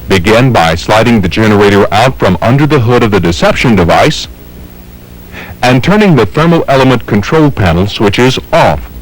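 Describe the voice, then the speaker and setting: American guy narrating a training film, lowish voice but pitch moves around a lot, formal and step by step. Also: expressive, normal speed, poor audio. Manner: formal, methodical, step-by-step delivery. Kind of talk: scripted instructional narration.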